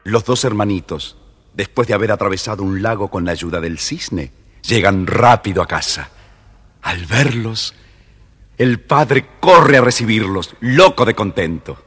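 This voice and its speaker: Low husky expressive male storyteller. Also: a little slow, big-room sound. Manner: expressive, confiding, theatrical. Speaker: storyteller narrator. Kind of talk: dramatic scripted children's narration.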